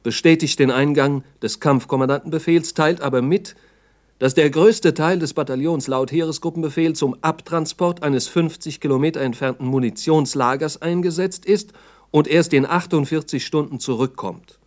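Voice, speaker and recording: A man's voice: on the high side, moderate pace, a little mellow. A man narrating a 1960s radio play as a sober, formal, factual report.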